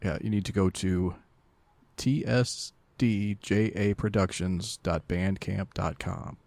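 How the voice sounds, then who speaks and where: A man's voice: low voice, steady tone, slow, gravelly, a little mellow, no echo. A man co-hosting a podcast, chatting casually with dry joking asides. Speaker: podcast co-host.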